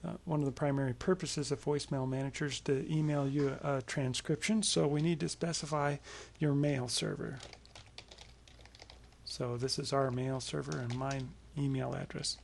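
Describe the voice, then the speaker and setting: A man presenting a software tutorial as a casual screencast walkthrough, explaining things informally in a creaky voice. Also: mid-range voice, steady tone, normal speed, a little hiss or hum.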